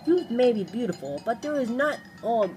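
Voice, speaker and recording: A woman's voice: fairly high pitch, sing-song, normal speed, a little mellow, soft background music, voice pushed up. A woman voicing a gracious, thoughtful female movie star in a video game, poised and reflective.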